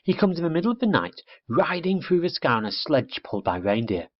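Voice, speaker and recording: A bossy, proud little girl from a storybook who lectures as if everyone should know already, voiced by a male narrator. The voice is lifted well above his own low tone. The sound is muffled and lo-fi. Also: sing-song, brisk. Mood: explaining.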